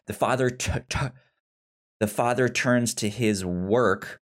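A man co-hosting a podcast, talking casually about film in a halting, rambling way with many restarts. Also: mid-range voice. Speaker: podcast co-host.

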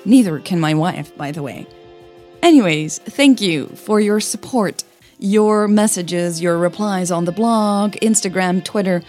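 A woman with a soft, breathy voice, a podcast host who narrates her own audio fiction, over music. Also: medium pitch, measured pace. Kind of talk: scripted story narration with casual host outro. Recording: music bed under the voice.

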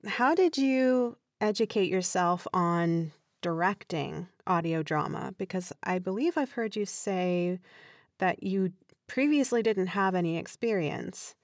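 A woman co-hosting a podcast, putting questions to guests in a measured way. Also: on the low side, expressive, no room sound, studio quality. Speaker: podcast co-host, interviewer.

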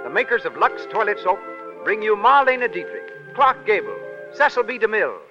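A man's voice: sits high, sing-song, normal speed. An American man announcing a 1930s radio show in a formal, proclamatory style. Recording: muffled vintage 1930s radio, loud music.

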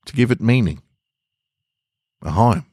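A man's voice: medium pitch, lively intonation, measured pace, warm tone, breathy edge, dry recording, clean studio sound, pitched above the performer's own voice. A man reading a scripted story in the role of an earnest, wistful man confessing an obsession. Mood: wistful, confessional. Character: earnest man obsessed with orphaned toy bricks.

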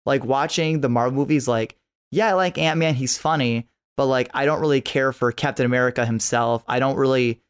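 A man's voice: mid-range voice, fairly even intonation, a little fast, smooth. A man co-hosting a podcast, giving his opinions in a casual, rambling way with "like" scattered through his talk. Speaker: podcast co-host.

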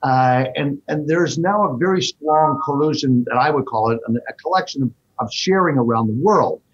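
Husky man explaining markets fast. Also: mid-range voice, muffled recording. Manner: fast, animated explaining with fillers. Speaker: fund manager guest. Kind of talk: interview answers.

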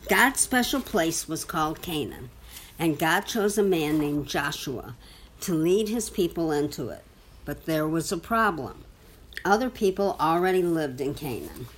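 A woman reading a children's Bible storybook aloud to a young child, with simple, deliberate phrasing and questions for the listener. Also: low-pitched, very animated.

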